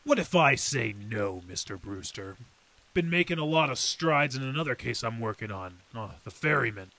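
A gravelly veteran detective. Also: male voice, medium pitch, expressive, moderate pace, pushed and bright, poor audio. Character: hardened veteran male city detective. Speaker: Detective Henry Reeves. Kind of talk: old time radio drama acting. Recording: hissy background noise.

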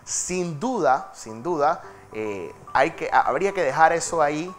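A man's voice: on the high side, expressive, a little slow, faint music behind. A man presenting a technology segment in a TV news studio, talking in a chatty, dramatic way with fillers. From Panama. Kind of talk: studio tech segment, conversational.

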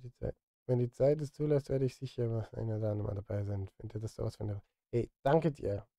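A man's voice: on the low side, fairly quick, gravelly, warm tone, no room sound, clean studio sound. A man in his thirties chatting casually to livestream viewers while he works, self-deprecating and direct. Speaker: music streamer and composer. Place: indoor home studio.

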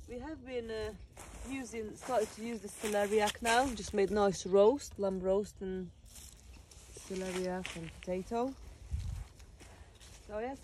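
A woman's voice: on the high side, darkish tone, a little hiss or hum, rough recording. Chatty woman touring her garden outdoors. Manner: chatty, pointing things out as she walks. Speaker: garden vlogger. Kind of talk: casual garden tour walkthrough.

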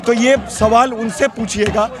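A man's voice: very high voice, steady tone, measured pace. A young male student activist giving fiery answers into a handheld mic at an outdoor street protest. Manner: forceful, fiery political speech. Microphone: handheld reporter mic. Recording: outdoor protest with crowd behind. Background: crowd noise.

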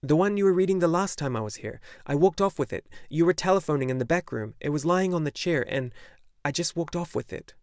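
A man voicing an earnest young boy in an audiobook, eager and sometimes halting. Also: a little high, expressive, dry recording, clean studio sound, voice pushed up. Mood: urgent. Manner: eager, halting when unsure. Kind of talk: audiobook character voice.

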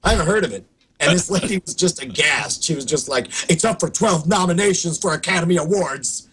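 A man in his thirties with a hoarse voice, co-hosting a casual podcast over a video call and telling stories in an animated, restarting way. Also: on the high side, rough recording. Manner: animated, quick storytelling with restarts. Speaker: co-host. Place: home studio room.

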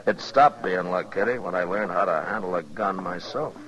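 Stern old west lawman, deep terse dry voice, muffled old radio recording. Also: moderate pace, a bit bright. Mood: dry, easy. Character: stern, laconic, world-weary frontier marshal. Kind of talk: 1950s radio western acting and narration. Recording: dull 1950s radio recording.

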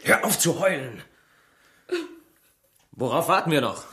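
An actor playing a hot-tempered, defiant village husband who shields his wife, gruff and curt, in a German radio crime drama. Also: fairly high pitch, lively intonation, unhurried, a bit bright. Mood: defiant, angry.

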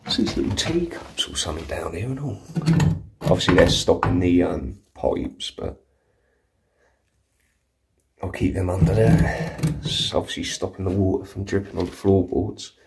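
Deep voiced guy poking around an abandoned house, going wow at everything, talking to the camera. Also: normal speed, vocal fry, a little hiss or hum. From England. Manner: excited, marvelling asides to viewers. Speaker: urban explorer host. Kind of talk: walkthrough vlog narration. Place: empty house, indoors.

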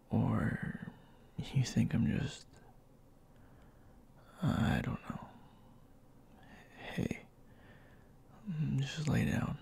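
Man acting a gruff boyfriend in gravelly whisper. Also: mid-range voice, heavily acted. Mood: gentle, reassuring. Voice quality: breathy half-whisper, gravelly. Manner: soft, halting, intimate. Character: gruff, worried young boyfriend, tender and bossy. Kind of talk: ASMR boyfriend roleplay.

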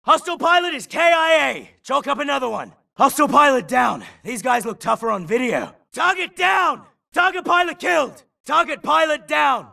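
A shouting, gravelly infantry soldier. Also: male voice, very high-pitched, recorded from a distance, exaggerated voice. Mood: gruff, satisfied. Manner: clipped, shouted military callouts. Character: tough male infantry soldier, urgent and gruff in combat. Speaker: game voice actor. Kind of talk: military battle barks.

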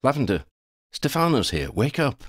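A man's voice: mid-range voice, big pitch swings, moderate pace, no room sound, studio quality, pitched above the performer's own voice. A man voicing a practical, teasing young hero with an urging, matter-of-fact manner in an audiobook. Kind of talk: audiobook character voice.

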